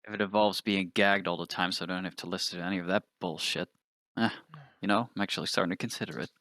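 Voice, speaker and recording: Sour, sarcastic starship scientist played by a man, low-voiced and dry, grumbling tabletop roleplay. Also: steady tone, fast, bright, forceful tone, no echo. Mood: grumpy, sarcastic. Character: grumpy, foul-mouthed male ship's scientist who hates androids.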